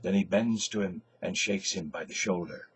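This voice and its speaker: An older man, an actor, giving a measured, deliberate dramatic reading of literary prose and stage directions. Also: low voice, slightly rough, low-quality recording. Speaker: actor reading a novel aloud. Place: small indoor space in front of a cloth backdrop.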